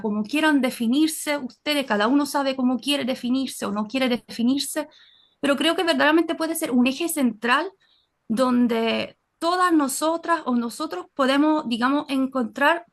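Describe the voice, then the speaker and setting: High-voiced Italian woman speaking Spanish. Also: a bit bright. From Naples, Italy. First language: Italian and Neapolitan. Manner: earnest, frequent fillers and restarts. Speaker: audience participant. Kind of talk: reflective personal comment in an online session.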